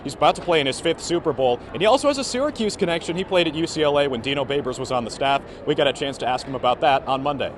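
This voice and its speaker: A man's voice: on the high side, expressive, racing, few pauses. A man in his early twenties working as a sportscaster, delivering play-by-play commentary and sports reports. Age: early 20s. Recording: clear background noise from the venue.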